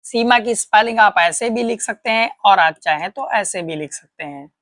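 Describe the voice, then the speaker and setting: A woman's voice: medium pitch, moderate pace, fairly bright tone, no echo, studio quality. A woman teaching a patient, step-by-step grammar lesson, asking questions and answering them herself. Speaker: English teacher.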